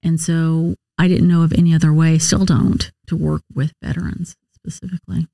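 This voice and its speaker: A woman's voice: deep voice, level tone, moderate pace, creaky, dark tone, dry recording. American woman in a dry, close interview. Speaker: interview guest, nurse case manager and former Marine officer. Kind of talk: conversational interview answers.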